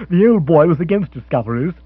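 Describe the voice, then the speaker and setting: A man playing a booming, jokey upper-class chap. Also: lively intonation, fast, breathy edge, no echo. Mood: jovial. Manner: booming, chuckling asides. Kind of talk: audiobook character voice. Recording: dull, dry, slightly lo-fi recording.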